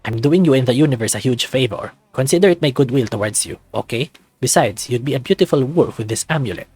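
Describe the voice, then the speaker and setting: A man voicing a soft-spoken, placating male creature scientist in a visual novel, in a low voice. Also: animated, fast talker, husky, no echo. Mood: placating, earnest.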